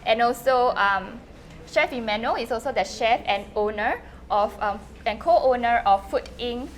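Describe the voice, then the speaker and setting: A woman's voice: fairly high pitch, unhurried. A woman, a dietitian introducing a cooking demo with frequent "so" and "okay". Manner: bright, filler-heavy, frequent "okay" and "so". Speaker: dietitian hosting the event. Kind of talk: event emcee introduction.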